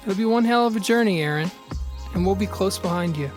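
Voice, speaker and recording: A man's voice: high-pitched, a little fast, music in the background, close to an everyday voice. A man acting a reassuring, reflective and sentimental brother in a naturalistic audio drama. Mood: supportive.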